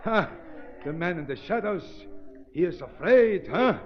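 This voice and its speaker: An actor playing a vain, gullible mad doctor with a foreign accent and halting broken English in a 1930s radio drama. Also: male voice, high voice, lively intonation, crowd noise. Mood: gloating, menacing. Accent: foreign, non-native English. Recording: noisy, muffled 1930s radio recording.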